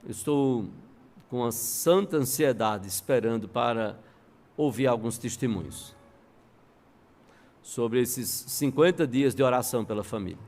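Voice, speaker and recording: Brazilian pastor preaching with a gravelly edge. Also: male voice, medium pitch, a little mellow. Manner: emphatic, deliberate repetition, rhetorical questions. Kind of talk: sermon with storytelling and quoted dialogue. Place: church service before a congregation.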